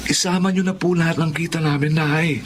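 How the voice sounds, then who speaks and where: A man's voice: on the high side, steady tone, a little fast, close to an everyday voice. Dutiful Filipino elder brother, played by a man. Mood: earnest. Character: dutiful, helpful elder brother in a poor family. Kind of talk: Filipino radio drama acting. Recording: noisy radio drama track.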